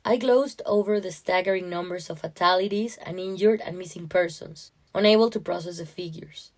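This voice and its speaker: A woman narrating a first-person story in a measured, expressive way. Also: on the low side. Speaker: short story reader.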